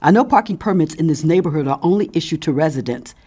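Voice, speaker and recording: Bossy female neighbour, animated intonation, indignant, insistent, officious, audio fiction. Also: sits low, fast, breathy edge.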